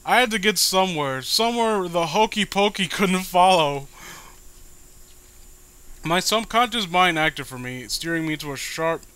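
Male story reader, higher-than-average pitch, bright forceful tone, dramatic and sarcastic. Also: lively intonation, a little slow, clean tone. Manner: sarcastic, dramatic reading broken by asides. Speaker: host reading amateur horror stories. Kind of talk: story reading with mocking commentary.

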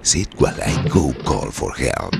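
An actor playing a sinister, courteous storyteller host in an old-time radio horror play, with a theatrical, ingratiating manner. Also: male voice, very deep voice, big pitch swings, unhurried, vocal fry, full character voice. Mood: eerily welcoming. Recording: loud storm noise behind voice. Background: thunderstorm.

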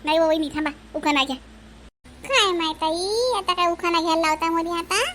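Very shrill village woman acted out. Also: a little fast, fairly bright tone, a little hiss or hum. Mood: teasing. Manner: teasing, complaining, folksy. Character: teasing, chatty village women of a family. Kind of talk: comedy cartoon dialogue.

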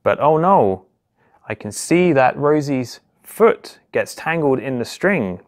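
A man's voice: medium pitch, takes it slowly. Man reading a children's story. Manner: expressive, simple narration with questions and exclamations for young children. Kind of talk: children's storytime read-aloud. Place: small indoor office or home room.

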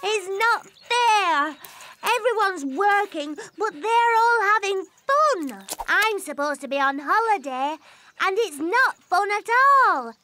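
A woman's voice: very high-pitched, expressive, slow pace, bright, forceful tone, full character voice. A woman voicing a wilful, easily upset little princess in a preschool cartoon, whining and drawing out her exclamations. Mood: whiny. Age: young child. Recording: music behind the voice.

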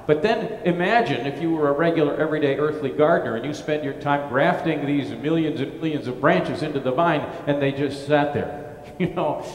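A man's voice: on the high side, fairly quick. A middle-aged male pastor giving a gospel reading and sermon in a brick church, chatty and informal, with asides and restarts. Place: brick-walled church sanctuary. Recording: echoey church with long reverb.

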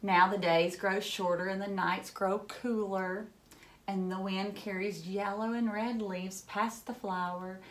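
Slow-paced woman reading aloud to children. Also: mid-range voice. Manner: animated, dramatic gasps and hushed moments, simple questions to children. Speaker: teacher reading a picture book aloud. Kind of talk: expressive children's read-aloud with asides to young listeners. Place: furnished room at home, indoors.

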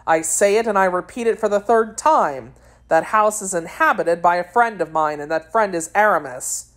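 An ardent and jealous young gentleman of a classic adventure storybook, read by a woman. He speaks at a middle pitch for a woman's voice, courtly, earnest and insistent. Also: moderate pace, natural voice. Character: ardent, jealous, persistent gallant young man under twenty.

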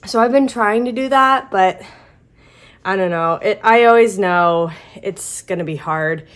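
A woman's voice: medium pitch, a little slow. An American woman in her thirties chatting casually to a handheld phone as a parent vlogger. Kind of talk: casual vlog chat. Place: indoors at home, then outdoors in yard and driveway. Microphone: handheld phone camera. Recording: clearly noisy background.

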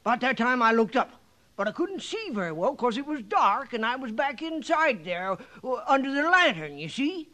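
A very high, swooping voice makes this old West stable owner folksy and excitable. He rambles along in an American Western drawl, hesitating and circling back like a born yarn-spinner in a radio western. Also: moderate pace, exaggerated voice. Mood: excited, spooked.

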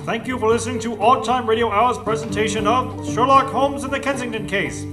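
A man's voice: very high voice, steady tone, brisk. A man reading scripted closing credits as a radio show announcer. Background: country guitar music.

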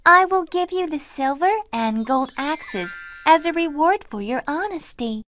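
A woman voicing a gentle female water sprite in a storybook, speaking softly and slowly. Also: high voice, lively intonation, a little hiss or hum, voice pushed up.

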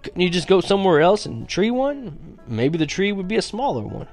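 A man reading aloud and voicing a calm, kindly father who speaks slowly and reasons gently. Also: high voice, faint music behind, voice pushed up.

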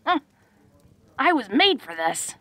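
A woman playing a tough but awkward, affectionate young orc woman in an intimate roleplay audio drama, talking in a folksy, halting way. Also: sits high, sing-song, bright, forceful tone, no room sound, heavily acted. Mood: excited, proud. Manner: folksy, halting tough-girl talk with small chuckles.

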